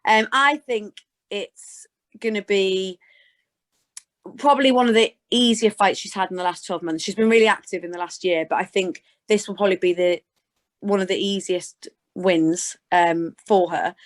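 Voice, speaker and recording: A woman's voice: medium pitch, steady tone, normal speed. A British woman chatting informally as a guest in an interview, answering in a conversational way. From Scotland and Gloucestershire, England. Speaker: interview guest, sports presenter. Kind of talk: casual interview chat.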